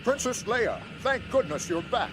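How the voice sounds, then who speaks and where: An actor playing a grave alien admiral in a sci-fi audio drama, speaking in a formal, weighty manner. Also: male voice, high voice, sing-song, unhurried, over music, low-quality recording. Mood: earnest. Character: grave alien male admiral of a rebel fleet. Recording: faint background noise.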